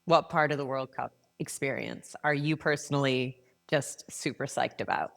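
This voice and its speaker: A woman's voice: extremely low pitch, moderate pace, gravelly. A woman co-hosting a podcast, asking questions in an upbeat, informal way. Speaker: podcast co-host. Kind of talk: casual interview.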